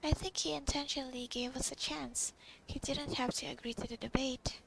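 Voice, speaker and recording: A woman's voice: sits high, fairly even intonation, a little fast, vocal fry, fairly bright tone, a little hiss or hum, poor audio. A woman giving casual, reactive game commentary, reading lines aloud and murmuring informal, half-whispered asides. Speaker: gamer recording a playthrough. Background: game music.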